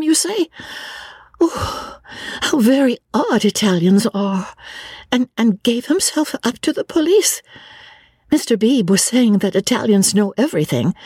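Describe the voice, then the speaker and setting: A woman's voice: fairly high pitch, a little mellow, slightly rough, no room sound, studio quality, pitched above the performer's own voice. A woman voicing a young, proper English woman who stammers with breathless politeness.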